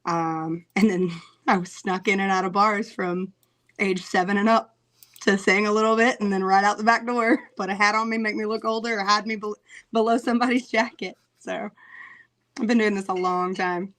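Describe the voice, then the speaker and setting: A woman singer talking casually in an interview, chatty, laughing often, with many "um" pauses. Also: medium pitch, fairly even intonation, a bit bright.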